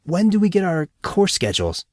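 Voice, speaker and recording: A man's voice: sits high, big pitch swings, brisk, a little mellow, dry recording, pitched above the performer's own voice. A man voicing a sardonic teenage schoolboy with slangy talk in an audiobook. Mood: curious, tired. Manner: teasing, slangy. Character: groggy, sardonic teenage boy, new at school.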